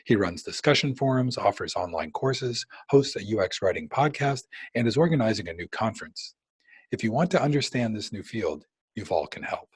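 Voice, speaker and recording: A man's voice: on the low side, animated, moderate pace, breathy edge, dry recording. A man hosting a podcast, reading a scripted introduction and then interviewing his guest eagerly, often restarting his sentences. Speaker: podcast host.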